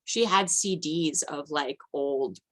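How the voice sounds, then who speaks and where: A woman's voice: sits low, slow, fairly bright tone. A woman speaking as a panelist in a casual, reflective and unscripted way.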